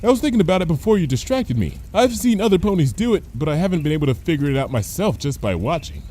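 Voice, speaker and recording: A man voicing a brash, carefree female pony troublemaker in a chatty, animated story reading. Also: on the high side, fast, slight background noise, voice pushed up. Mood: blithely arguing. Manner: breezy, chatty, blithely unconcerned. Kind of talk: animated fan fiction reading.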